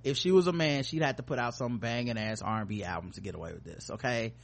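A man's voice: mid-range voice, fast, gravelly. A man hosting a podcast in casual, joking banter with informal, profane language. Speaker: podcast host.

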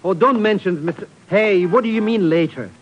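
An actor playing an excitable, greedy comic sidekick who blusters and stammers, in 1950s radio drama style. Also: male voice, sits high, fairly quick, lightly acted. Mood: indignant. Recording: hissy, dull 1950s radio recording.

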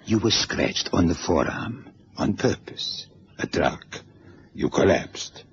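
An actor playing a cold, measured Soviet interrogator in a 1960s radio drama, clipped and coldly polite. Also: male voice, low voice, animated, gravelly, exaggerated voice. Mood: menacing. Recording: dull old radio recording, faint hiss.

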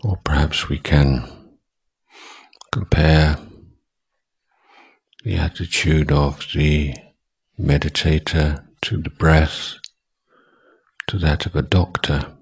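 A man with an extremely low, husky voice leads a guided meditation. He speaks very slowly and deliberately, setting down short phrases with long pauses between them. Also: fairly even intonation. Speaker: Buddhist monk and meditation teacher.

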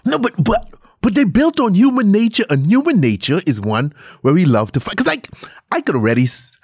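A man's voice: high-pitched, very animated, fairly quick, a little mellow, breathy edge, dry recording, clean studio sound. A man hosting a casual interview over a video call, talking playfully and laughing often. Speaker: podcast host.

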